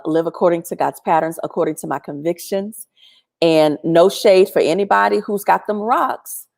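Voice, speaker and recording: Woman guest, lowish pitch, strong loud voice, lively chatty storyteller on a video call. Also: creaky. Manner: chatty, animated, acts out quoted voices. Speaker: featured guest. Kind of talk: storytelling testimony.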